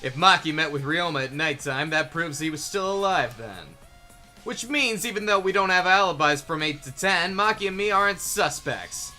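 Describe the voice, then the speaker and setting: A man's voice: fairly high pitch, lively intonation, moderate pace, bright, forceful tone. A man in an amateur game fan dub playing an earnest young detective who reasons aloud with some hesitation. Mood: earnest, probing. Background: background music.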